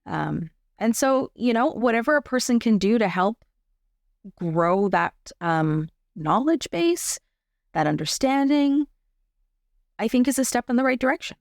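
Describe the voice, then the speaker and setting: A woman's voice: on the high side, sing-song, measured pace, darkish tone, dry recording, studio quality. A woman giving a casual, explanatory interview as a guest, often checking in with the listener. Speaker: podcast guest, arts advocate and workshop teacher.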